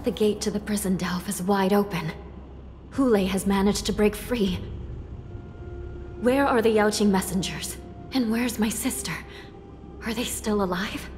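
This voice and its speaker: An actress playing a stern, composed prison judge in a video game, formal and authoritative. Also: female voice, mid-range voice, unhurried, pauses often, a little mellow, lightly acted. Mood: concerned. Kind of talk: dramatic video game voice acting. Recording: long reverb, music behind. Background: game music.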